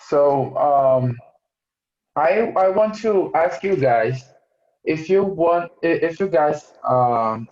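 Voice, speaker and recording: A man from Brazil with a Brazilian Portuguese accent, speaking English hesitantly and apologetically, with many pauses and restarts. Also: on the high side, a little mellow. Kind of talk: casual discussion. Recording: roomy, dull-sounding call audio.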